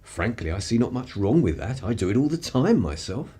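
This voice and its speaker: A man's voice: lowish voice, sing-song, brisk, mellow, slightly rough. A man with an upper-class English accent playing an urbane, wry 1930s journalist in a radio play, languid and drily superior. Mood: suave, amused. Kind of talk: period radio play acting.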